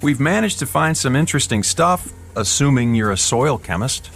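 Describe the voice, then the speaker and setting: A man's voice: mid-range voice, animated, moderate pace, creaky, a bit bright, a little hiss or hum. An actor playing a skeptical, easygoing male UFO investigator in a radio drama, speaking in a dry, teasing way. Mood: explanatory. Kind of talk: radio drama acting, conversational.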